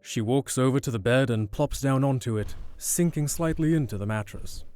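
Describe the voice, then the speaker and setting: A man serves as narrator for a fantasy audio drama. His voice is mid-pitched, soft-edged and dark in tone, reading scripted scene descriptions. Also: moderate pace, smooth.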